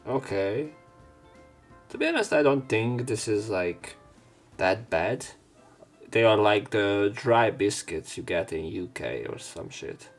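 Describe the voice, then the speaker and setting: A man's voice: on the low side, big pitch swings, slow pace, gravelly. A man hosting a let's play, reading lines aloud and commenting casually with informal, wry asides. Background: game music.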